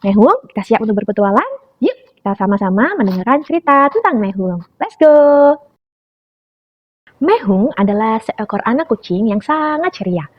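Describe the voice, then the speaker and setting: Young woman reading a kids story, voice jumping all over, kinda breathy, muffled lo-fi sound. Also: a little high, moderate pace. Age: young adult. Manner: animated, calls listeners "friends". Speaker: storyteller for preschool children. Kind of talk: children's storytelling. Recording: dull lo-fi recording.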